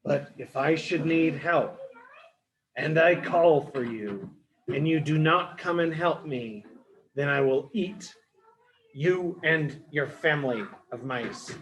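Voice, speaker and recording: A man's voice: a little high, takes it slowly, a little hiss or hum, poor audio. A man voicing a haughty lion king in a children's storybook, regal and menacing in manner. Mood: stern. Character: haughty male lion king, threatening but merciful.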